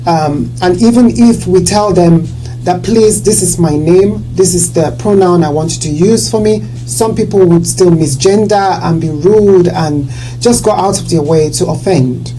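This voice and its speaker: A woman hosting a talk show discussion, earnest and quick to agree with her guest. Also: on the low side, warm tone, faint music behind, rough recording. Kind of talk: casual discussion.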